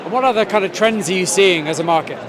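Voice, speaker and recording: High-voiced man interviewing in a crowd. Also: fast. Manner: brief prompting questions with hesitant restarts. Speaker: interviewer, trade journalist. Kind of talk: interview questions. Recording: crowd noise behind.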